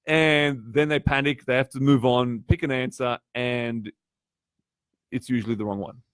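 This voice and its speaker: A man's voice: medium pitch, steady tone, moderate pace, fairly bright tone, clear, resonant tone, no echo. Chatty man coaching a live audience. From Poland. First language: Polish. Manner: chatty, asks the audience questions. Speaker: test prep tutor. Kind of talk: live-streamed coaching talk.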